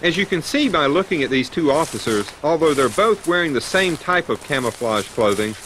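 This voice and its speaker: Male American instructor for scripted training narration: animated intonation, methodical, slightly noisy vintage film soundtrack. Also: fairly high pitch, brisk.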